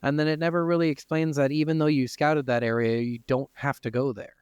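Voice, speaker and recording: A man's voice: fairly high pitch, flat delivery, fairly quick, a little mellow, smooth, no room sound, lo-fi sound. A man co-hosting a podcast, talking casually and profanely about video games. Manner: informal, freely profane. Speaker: podcast co-host. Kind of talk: casual video game discussion.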